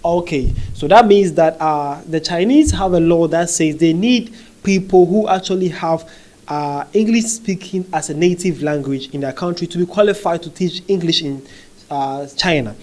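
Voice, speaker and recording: A man's voice: a little high, moderate pace, a little mellow. A man talking conversationally as he reads social media comments aloud and reacts to them, with frequent fillers and check-ins like "okay?". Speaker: commentary vlogger.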